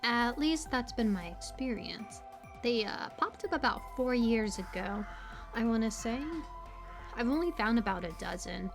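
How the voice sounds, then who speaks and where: A quirky young woman, played by a voice actress, chatty and high-pitched, in a casual audio roleplay over music. She rambles playfully with bubbly energy, like a friendly small-town local. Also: unhurried. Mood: chatty, sincere.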